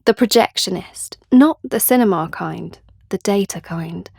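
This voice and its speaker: An anxious, clever young British woman confides in the listener in an audio drama monologue. Her voice sits at a middle pitch and is recorded very dry and close. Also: measured pace, creaky, a little mellow, slightly rough, no room sound. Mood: proud, intense. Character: anxious, guilt-ridden, clever young woman data analyst.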